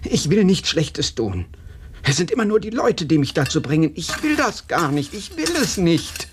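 An actor playing a sullen, fearful blacksmith in a radio play, defensive and self-pitying in short blunt sentences. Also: male voice, a little high, very animated, normal speed, background noise. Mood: anguished, reluctant.

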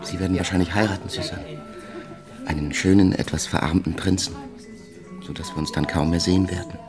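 An actor playing an ambitious, evasive young French husband on the rise in a German radio play, with a halting, intimate delivery. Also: male voice, deep voice, steady tone, over music, close to an everyday voice. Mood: smooth, evasive.

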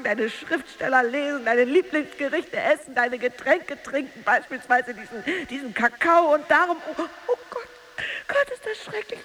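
A bitter, volatile jealous wife. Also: female voice, sits high, slight background noise. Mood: bitter accusation, then anguished. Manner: emotionally volatile, theatrical, swinging between hushed and outburst. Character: jealous married actress, bitter, taunting, volatile rival. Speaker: actress in a radio drama. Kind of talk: dramatic radio play monologue.

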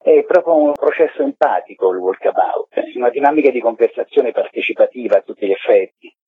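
Husky mature man on a tinny phone line. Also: mid-range voice, lively intonation, brisk, no echo. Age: middle-aged or older. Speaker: event curator being interviewed. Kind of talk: conversational radio interview. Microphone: telephone.

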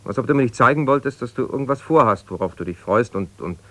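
An actor playing an aggrieved, self-justifying middle-aged husband in a naturalistic radio drama, halting and reproachful as he argues. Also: mid-range voice, lively intonation, fairly bright tone. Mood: reproachful, wounded. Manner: halting, argumentative, reproachful, breaking off mid-sentence. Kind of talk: naturalistic 1970s German radio drama, one-sided conversation.

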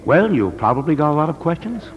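An actor playing a brisk, genial military sergeant, chatty and matter-of-fact, in 1940s radio drama style. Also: male voice, on the high side, big pitch swings. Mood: businesslike. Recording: muffled 1940s radio broadcast.

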